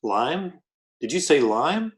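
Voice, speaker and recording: A man's voice: on the high side, big pitch swings, takes it slowly. A man playing a dapper, formal American company envoy in an old-time radio comedy, courteous and ambassadorial. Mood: aloof.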